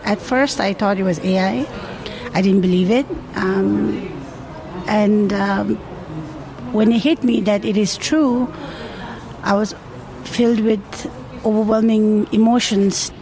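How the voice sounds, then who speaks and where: A woman's voice: medium pitch, fairly even intonation, slow, pauses often, crowd noise, poor audio. A woman who grew up in India, a restaurant owner, speaking earnestly in an interview with small hesitations.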